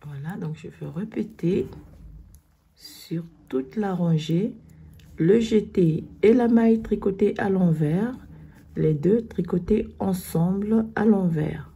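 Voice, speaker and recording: A woman with a cold, hoarse, slowly explaining knitting stitches step by step in a tutorial. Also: medium pitch, dark tone, lo-fi sound. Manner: slow, step-by-step instructions.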